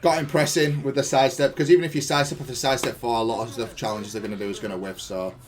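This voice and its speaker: A man with a mid-pitched voice talks through his own fighting-game match. His delivery is informal and matter-of-fact, like a player reviewing a replay for viewers. He is openly self-critical as he analyses the play. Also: fairly even intonation, very fast. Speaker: gaming content creator reviewing own match. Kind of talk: casual gameplay analysis commentary.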